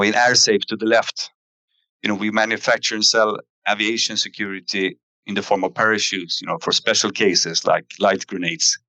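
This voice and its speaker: A man giving a company investor presentation in a halting way, pausing often with fillers. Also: lowish voice, pushed and bright, no room sound, low-quality recording. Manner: halting, hesitant delivery with frequent fillers. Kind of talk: investor earnings presentation, semi-scripted.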